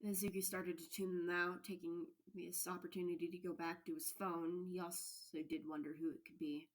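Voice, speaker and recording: A woman reading fan fiction aloud as expressive story narration. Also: lowish voice, fairly quick. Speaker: fan fiction podfic reader.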